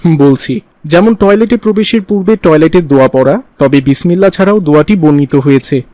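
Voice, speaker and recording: Man as stern cartoon dad, high-pitched, instructive, dry close sound. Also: brisk, dark tone, no echo, close to an everyday voice. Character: stern but instructive father explaining religious manners. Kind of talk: didactic cartoon dialogue.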